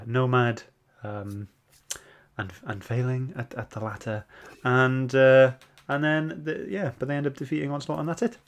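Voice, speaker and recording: Man hosting a casual live chat. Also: mid-range voice. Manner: hesitant, halting when summarizing. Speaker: host of a comic book club show.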